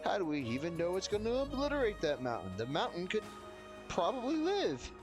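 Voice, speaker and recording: A man's voice: sits high, wildly swooping pitch, fairly quick. A man streaming a video game, giving casual, rambling, informal and self-deprecating live commentary. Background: game music.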